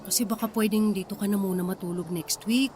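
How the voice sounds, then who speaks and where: Actress as anxious pregnant Filipina wife, noisy ambience. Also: female voice, mid-range voice, fast talker, darkish tone, close to an everyday voice. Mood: hesitant, casual. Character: pregnant wife, anxious, suspicious, downplaying older sister. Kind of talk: Tagalog radio drama acting.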